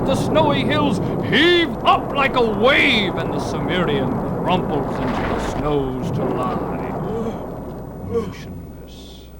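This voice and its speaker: A man's voice: high-pitched, very noisy. A man giving dramatic, scripted fantasy narration in a theatrical, florid storytelling manner. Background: loud dramatic music.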